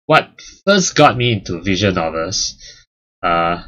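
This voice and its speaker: A man's voice: low-pitched, very animated, unhurried, fairly bright tone, dull audio. A man casually chatting about his channel's history as its host, rambling with lots of fillers and restarts. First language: English and Chinese. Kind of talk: casual milestone chat.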